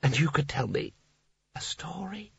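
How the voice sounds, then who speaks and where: A man voicing a tipsy, dreamy old male seraph who talks slowly and wanders off mid-thought, hiccuping. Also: sits high, big pitch swings, a bit bright, dull audio, pitched above the performer's own voice, full character voice.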